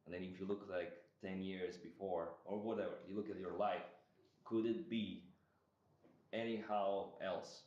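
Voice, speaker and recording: A deep-voiced man, to that language, as you'd hear hosting a relaxed interview in a bare, echoing back room. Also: unhurried. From Croatia. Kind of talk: casual conversational interview. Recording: echoey room, dull lo-fi audio.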